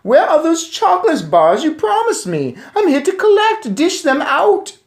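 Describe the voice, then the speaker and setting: A greedy little storybook brat, acted by a man, speaks in a very high, loud voice. He is cocky, boastful and demanding, a small bully used to getting his way. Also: wildly swooping pitch, a little slow, heavily acted.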